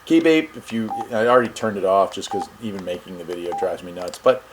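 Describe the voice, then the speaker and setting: Casual low-voiced man with background noise. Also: a little fast, low-quality recording. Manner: informal, folksy, thinking aloud while operating a device. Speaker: host of a radio review channel. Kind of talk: casual product demonstration. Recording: clear steady background noise.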